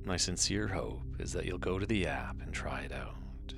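A man hosting a sleep podcast, speaking softly with some whispered words. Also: low voice, animated, moderate pace, gravelly, music in the background. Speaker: podcast host. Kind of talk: soft sleep podcast announcement.

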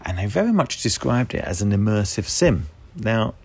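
Deep, gravelly man talking games. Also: very animated. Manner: measured, thoughtful, hedging. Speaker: podcast host. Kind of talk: casual video game discussion.

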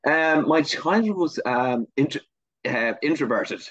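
A man's voice: a little high, expressive, unhurried, a bit bright, dry recording. A middle-aged man with a Dublin accent, answering interview questions reflectively, hesitant with many fillers and restarts. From Ireland. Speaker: guest filmmaker. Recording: muffled lo-fi sound.

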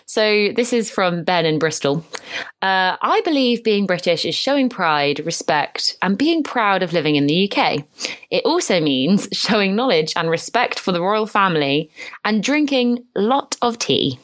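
A young woman from the UK co-hosting a podcast in casual chat, talkative and quick to laugh. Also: mid-range voice, sing-song, a bit bright. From United Kingdom. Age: young adult. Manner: chatty, reads out quotes, laughs often. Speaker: podcast co-host.

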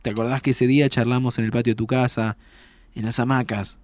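A man's voice: medium pitch, level tone, a little fast, no echo, lightly acted. A man playing a playful, frank, caring 25-year-old time traveller in a naturalistic audio drama, with an Argentine accent and a teasing, informal way of talking. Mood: warm reminiscing.

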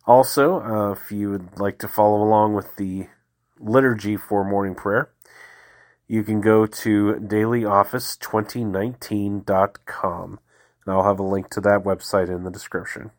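Guy with a stuffy head cold reading morning prayers, lowish voice, slow and calm. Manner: calm, measured, devotional reading with slow pauses. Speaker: podcast host leading daily prayer. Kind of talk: reading liturgy aloud, prayerful.

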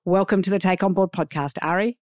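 A woman's voice: on the low side, steady tone, fairly quick, darkish tone, no room sound, studio quality. A woman with an Australian accent hosting a podcast, introducing and interviewing with an enthusiastic, emphatic manner. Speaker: podcast host.

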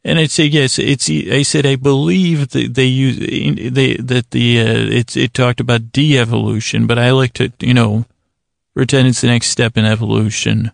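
A man hosting a sleep podcast, rambling in a halting, meandering way, repeating words and restarting his sentences. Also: mid-range voice, steady tone, gravelly, no room sound, studio quality. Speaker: podcast host. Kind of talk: rambling bedtime monologue to lull listeners to sleep.

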